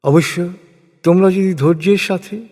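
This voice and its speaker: A man reading translated scripture as a solemn scripted narration, in measured, declamatory phrases. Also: high-pitched, warm tone. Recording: long hall-like reverb.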